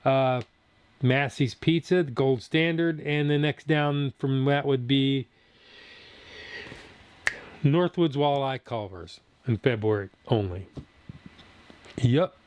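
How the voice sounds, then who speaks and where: A man's voice: mid-range voice, slow pace, pauses often, darkish tone, a little hiss or hum. A man in his sixties from Southern Ohio, chatting casually with viewers on his food channel's live stream and reading their comments aloud as he rambles. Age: 60s. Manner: rambling, chatty, answers chat as he reads.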